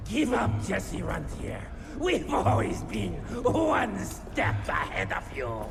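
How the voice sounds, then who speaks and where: A sneering vampire villain drawls his taunts slowly, the voice gravelly and somewhat high, swinging wildly between low murmurs and sharp peaks. Loud score plays beneath him. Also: wildly swooping pitch, over loud music, full character voice. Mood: mocking. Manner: slow, mocking, rising into shouts. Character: taunting sinister vampire man, mocking and cruel. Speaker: game villain. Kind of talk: video game cutscene acting.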